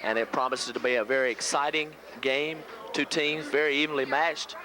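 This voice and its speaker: Male local play-by-play announcer from the US, mid-pitched, pregame basketball commentary, clearly noisy vintage tape recording. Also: steady tone, moderate pace. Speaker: local radio play-by-play sportscaster. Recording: noisy old VHS local radio audio.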